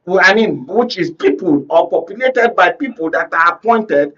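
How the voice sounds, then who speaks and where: A man's voice: high voice, lively intonation, normal speed, fairly bright tone. A man with a Nigerian accent giving political commentary as a media analyst on a studio panel. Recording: muffled, off-mic studio audio.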